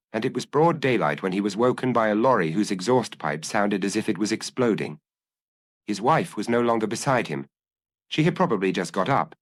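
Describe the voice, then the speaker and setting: A man narrates a detective tale in a scripted audiobook style. His voice sits on the low side and moves through lively, varied intonation. The sound is dry, with very little room in it. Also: no room sound.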